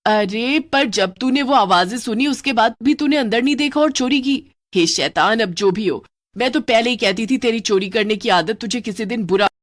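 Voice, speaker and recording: A woman's voice: on the high side, moderate pace, natural voice. Loyal, bossy cartoon witch who nags her friend, played by a woman. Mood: earnest. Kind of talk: cartoon voice acting.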